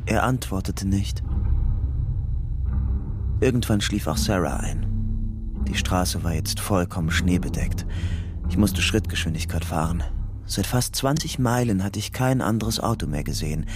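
A man's voice: low voice, animated, unhurried, pauses often, background noise. An actor playing a young man who soberly narrates his own story in a radio drama. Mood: sober, brooding. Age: young adult. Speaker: first-person narrator.

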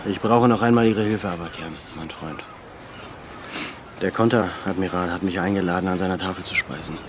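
An actor in a German radio drama playing a weary, serious leader, reflective yet used to command. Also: male voice, lowish voice, a little hiss or hum, natural voice. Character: weary, serious male leader longing for solitude.

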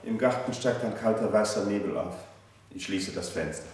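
An actor playing a reserved, formal manservant in a radio play, speaking from across an echoey room. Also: male voice, on the low side, moderate pace, natural voice. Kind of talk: German radio play acting. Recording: distant, echoey room, noisy.

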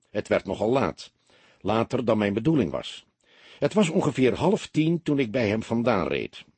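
Polite male businessman witness a man acts him out, explanatory, animated intonation, faintly rough tone, detective audiobook. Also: mid-range voice, no echo, pitched above the performer's own voice. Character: earnest, round-faced businessman giving his account.

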